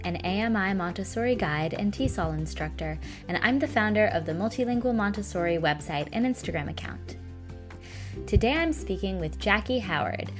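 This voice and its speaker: A woman hosting a podcast, reading a scripted introduction in a clear, measured way before interviewing her guest. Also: on the low side. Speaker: podcast host.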